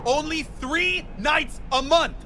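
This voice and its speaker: An actor playing a fanatical, paranoid street prophet in a video game, preaching conspiracy theories with theatrical, defiant grandeur. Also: male voice, very high-pitched, slow pace, bright, forceful tone, slight background noise, heavily acted. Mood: fervent, defiant.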